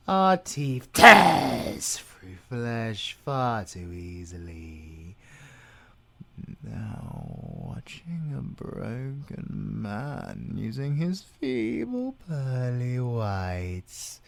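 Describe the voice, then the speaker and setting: A gravelly British werewolf villain. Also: male voice, medium pitch, full character voice. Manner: silky, menacing, drops into whispers. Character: sadistic gloating male werewolf villain. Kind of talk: audio roleplay acting.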